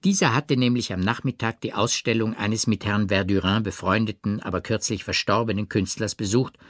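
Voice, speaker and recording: A man narrating a literary audiobook in a measured, expressive way with precise phrasing. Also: fairly low pitch, dry recording, clean studio sound.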